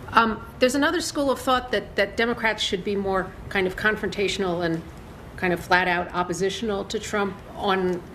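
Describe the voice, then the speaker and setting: A woman's voice: mid-range voice, fairly bright tone, slight background noise, poor audio. Hesitant female reporter. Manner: hesitant, many fillers and hedges. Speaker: journalist. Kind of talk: press question.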